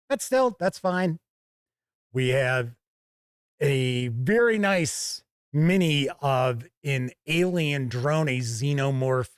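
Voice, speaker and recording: A man's voice: fairly high pitch, no room sound, studio quality. A man giving a casual, informal product review as a host, explaining things in a conversational way. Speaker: tabletop game reviewer and show host.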